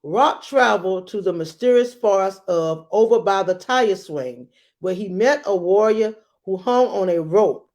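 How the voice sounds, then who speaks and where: A woman's voice: mid-range voice, lively intonation, measured pace, a little mellow. A woman speaking as a teacher, explanatory and emphatic, giving a lesson and reading a storybook. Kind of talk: read-aloud lesson and storybook narration.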